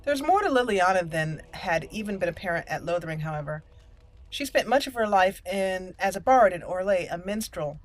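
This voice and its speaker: A woman's voice: medium pitch, rough recording. A woman hosting a roleplay let's play, voicing dialogue choices and narrating the game.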